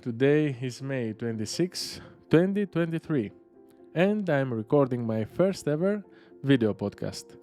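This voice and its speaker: A man's voice: mid-range voice, expressive, warm tone, soft background music. Greek-accented man hosting a solo podcast. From Greece. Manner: reflective, with frequent filler pauses. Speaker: podcast host.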